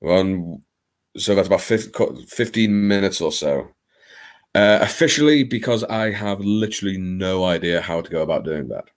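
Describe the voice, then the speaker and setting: A man's voice: low voice, expressive, normal speed. A man hosting a casual live stream, chatting with viewers in a playful, theatrical manner full of jokey asides and swearing. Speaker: livestream host. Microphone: streaming microphone, not his usual video mic.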